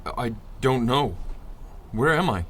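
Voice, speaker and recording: An actor playing a solemn mystery man without memory, speaking in stilted, halting, formal phrases in a radio serial. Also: medium pitch, expressive, fairly bright tone. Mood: dazed. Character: dazed amnesiac male stranger, stiff, solemn, protective. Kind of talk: 1930s radio adventure serial acting.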